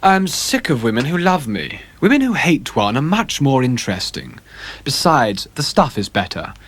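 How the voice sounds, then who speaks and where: A man voicing a refined, haughty young gentleman with languid, upper-class speech, set a little above his own voice. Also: mid-range voice, big pitch swings, normal speed, husky. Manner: languid and aristocratic, turning panicked.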